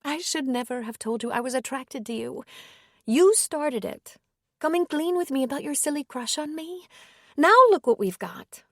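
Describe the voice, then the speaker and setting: A woman's voice: sits high, expressive, normal speed, no echo, clean studio sound, pitched above the performer's own voice. A woman voicing a wary, flustered aid worker, anxious and teasing, in a lifted register. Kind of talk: audiobook character reading.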